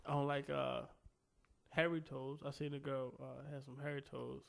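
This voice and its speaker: A man's voice: mid-range voice, level tone, moderate pace, vocal fry. A young man from Richmond, Virginia, co-hosting a comedy podcast in loose casual chat, laughing often and rambling through restarts. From Southside Richmond, Virginia. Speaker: podcast co-host.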